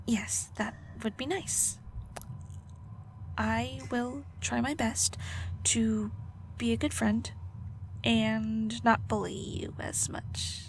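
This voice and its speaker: A prickly female alchemist played by a woman speaks in a high voice with a creaky edge, taking her time. She is guarded and awkward, teasing to hide how much she cares, as in an intimate fantasy audio roleplay. There is some background ambience behind her. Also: level tone, a little hiss or hum. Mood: softened, playful. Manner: flustered, halting, teasing, defensive. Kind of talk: audio roleplay acting, intimate one-sided dialogue.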